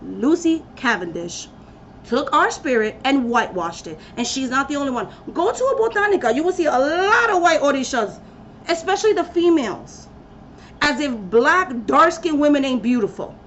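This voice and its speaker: A woman speaks in a fairly high voice, preaching with blunt, rallying emphasis. The sound is dull and lo-fi, with a faint hiss underneath. Her delivery is fervent and direct. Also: very animated, normal speed. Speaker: spiritual practitioner giving a talk. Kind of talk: impassioned personal rant to camera.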